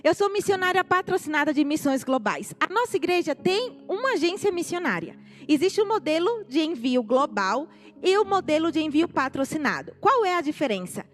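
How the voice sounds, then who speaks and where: A woman's voice: high-pitched, moderate pace. A woman in her forties with a Northeastern Brazilian accent, a missionary giving her testimony to a congregation and speaking to it directly. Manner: animated, addresses the congregation directly. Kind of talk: testimony sermon. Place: church sanctuary. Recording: live church recording, faint music.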